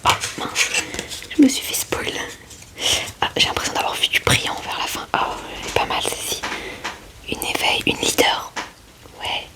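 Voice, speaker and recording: A woman whispering ASMR commentary in a breathy, hushed murmur, close to the microphone. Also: moderate pace, long pauses. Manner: hushed, murmuring short asides to viewers. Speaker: ASMR creator.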